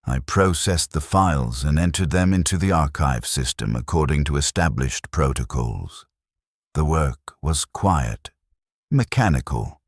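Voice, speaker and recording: A man narrating a scripted first-person story in a solemn, measured, deliberate way, with cinematic gravity. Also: a bass voice, slightly rough, dry recording, studio quality.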